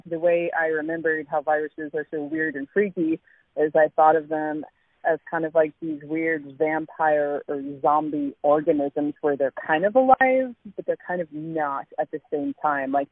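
A man's voice: fairly high pitch, no room sound. Man explaining science over a phone line. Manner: animated, uses analogies. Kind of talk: casual explanation.

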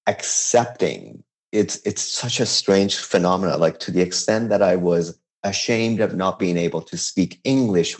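A man's voice: low-pitched, a little mellow. A man in his fifties from Iran, a chef talking as an interview guest in a casual, reflective way, often restarting his sentences. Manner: reflective, halting, with frequent restarts and light laughs.

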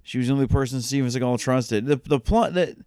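A man chatting casually about a movie, quick and profane, often laughing. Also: medium pitch, a little mellow, clear, resonant tone, dry recording, clean studio sound. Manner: quick, swearing, laughing banter. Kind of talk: casual movie review chat.

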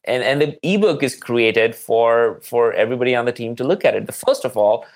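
A man's voice: mid-range voice, lively intonation, brisk. A man speaking conversationally as a podcast guest and marketing expert, explaining with examples and repeating words for stress.

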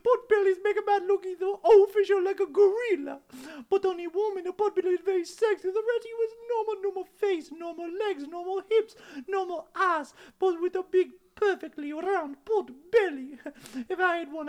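A woman voicing a petite, excitable girlfriend in a comic dramatic reading, playful and petulant in broken English. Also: extremely high pitch, moderate pace, few pauses, pitched above the performer's own voice. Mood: petulant, insistent.

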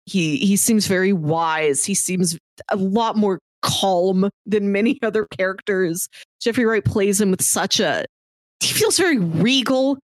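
A woman's voice: medium pitch, a little slow, no room sound, studio quality. A woman co-hosting a podcast in a casual, conversational discussion style. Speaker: podcast co-host. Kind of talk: casual chat, film discussion.

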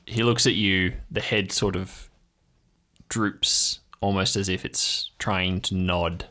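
A man's voice: low-pitched, fairly even intonation, slow, pushed and bright. A man narrating an improvised audio drama as game master, describing scenes atmospherically in the second person.

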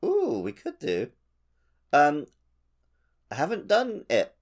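A man's voice: on the high side, huge pitch swings, slow. A man chatting casually as a co-host on a gaming podcast. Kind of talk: casual chat.

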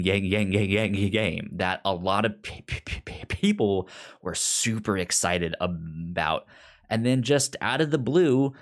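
A man's voice: on the low side, fairly bright tone. A man co-hosting a podcast, chatting casually about a TV series, often stammering and repeating the first sounds of words. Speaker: podcast co-host. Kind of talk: casual TV recap chat.

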